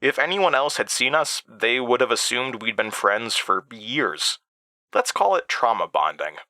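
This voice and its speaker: A man narrating a first-person horror story in a deliberate, halting manner with dramatic pauses. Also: mid-range voice, sing-song, pushed and bright, no room sound.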